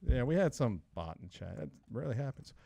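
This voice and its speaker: A man co-hosting a podcast, chatting casually and teasing his co-host with animated outbursts. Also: medium pitch, fairly quick, creaky, no room sound. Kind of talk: casual chat.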